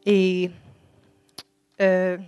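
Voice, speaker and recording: A woman with a Quebec French accent, English-speaking by upbringing, giving a halting, shy personal faith testimony at a church microphone. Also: on the low side, monotone, darkish tone, smooth, no echo, lo-fi sound, soft background music. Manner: halting, shy, many restarts. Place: church service. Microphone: microphone before an audience.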